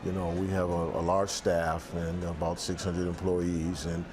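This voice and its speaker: A man's voice: low voice, monotone, unhurried, background noise. A man in his sixties, an athletic director, talking reflectively in a sit-down interview on an outdoor balcony, with many restarts and fillers. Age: 60s.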